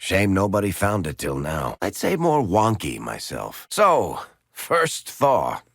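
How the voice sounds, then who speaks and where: A man's voice: sits low, wildly swooping pitch, slow, a bit bright, dry recording, heavily acted. A man voicing a wry dwarf storyteller and rogue in a video game, full of dry, sardonic quips. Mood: wry, conversational. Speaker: video game companion character.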